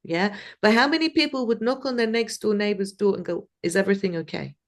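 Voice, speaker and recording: A woman's voice: medium pitch, brisk. Reflective woman from Iran. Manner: reflective, conversational, many fillers. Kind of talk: casual discussion.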